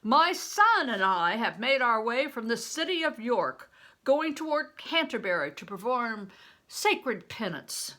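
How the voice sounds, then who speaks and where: A woman voicing a boisterous male juggler from a storybook, declaiming loudly with ceremonious, pious humility. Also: a little high, very animated, unhurried, voice pushed up, full character voice. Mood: humbly grand. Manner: loud, declamatory, ceremoniously humble. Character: Bear, big boisterous male juggler, humbly pious showman. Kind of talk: storybook character reading.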